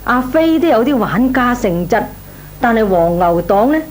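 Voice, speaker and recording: An actress playing a worried, plaintive middle-aged aunt with a Cantonese accent in an old Hong Kong radio drama. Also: female voice, on the high side, very animated, moderate pace. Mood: exasperated. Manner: plaintive, sighing, exclamatory. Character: fretful married woman, worried pleading aunt. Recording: old radio recording, faint noise.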